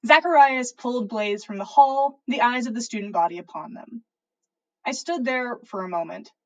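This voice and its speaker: A woman reading fan fiction aloud as a podcast host, in expressive first-person story narration. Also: on the high side, moderate pace, low-quality recording.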